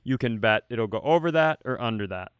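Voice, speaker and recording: A man's voice: medium pitch, animated, a little fast, a bit bright, clean tone, no echo, studio quality. A man co-hosting a comedy podcast, telling casual comic stories with profane, jokey banter.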